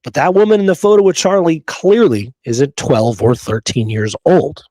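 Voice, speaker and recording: A man's voice: a little high, normal speed, dark tone, no room sound. Emphatic man presenting history research, dry sound. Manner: emphatic, checks in with rhetorical right and okay. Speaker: history show host. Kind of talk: presenting research to listeners, partly reading prepared notes.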